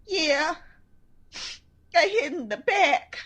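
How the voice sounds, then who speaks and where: A lovesick young chorus girl in a comic period radio drama. She is brash and slangy, swinging widely in pitch with slow, drawn-out phrasing. Her tone is sharp and bright, with plenty of melodrama. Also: lively intonation, full character voice. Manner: melodramatic, slangy old-time tough-dame talk. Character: brash, lovesick, superstitious young chorus girl, old gangster-moll type.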